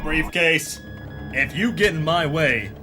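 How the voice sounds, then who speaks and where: A man acting a hard-boiled pulp adventure hero, pitched slightly high for a man and drenched in reverb. He is pompous and deliberate, narrating like an old radio serial, with music clearly playing behind him. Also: expressive. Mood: gruff, threatening. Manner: melodramatic, grave, deliberate hard-boiled delivery. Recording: reverberant voice over background music.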